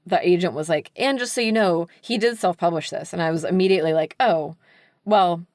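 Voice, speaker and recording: A woman's voice: on the low side, fairly quick, darkish tone, no echo, clean studio sound. An American woman speaking candidly and emphatically as an editor being interviewed on a podcast, peppering her talk with "like". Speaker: book editor, podcast guest.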